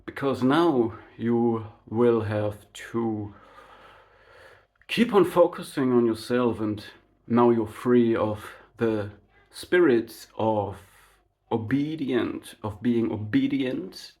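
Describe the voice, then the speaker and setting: A man whose first language is German, giving an unscripted spiritual monologue to his channel in a slow, reflective way, full of fillers and tag questions like "right?". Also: medium pitch, darkish tone. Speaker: spiritual vlogger.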